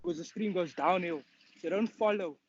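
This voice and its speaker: A man's voice: high-pitched, moderate pace, crowd noise. A young man in his early twenties with a Cape Town South African accent, answering interview questions casually and earnestly as a footballer. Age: early 20s. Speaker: professional footballer being interviewed. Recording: dull lo-fi sound.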